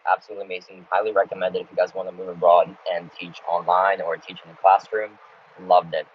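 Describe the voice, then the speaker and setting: A man, though his is Russian. He answers live chat questions in a relaxed, chatty way and laughs between answers. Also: low-pitched, fast. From Ukraine, grew up in the United States. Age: 20s. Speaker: travel YouTuber. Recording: dull lo-fi sound with faint background noise.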